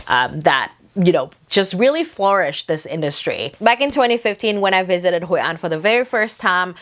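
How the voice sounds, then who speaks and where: A woman chats at length to camera as a vlog host. Her voice sits a little low for a woman, and her talk rambles, packed with "like" and "um" fillers. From Vietnam. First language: Vietnamese. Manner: rambling, talkative, many "like" fillers. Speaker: vlogger host. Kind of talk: casual chatty talk to camera.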